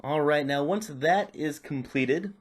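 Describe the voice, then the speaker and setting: A man's voice: on the high side, unhurried, husky. A man giving casual, unscripted step-by-step instruction as he works with his hands. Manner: unscripted, narrating while working. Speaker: repair tutorial presenter.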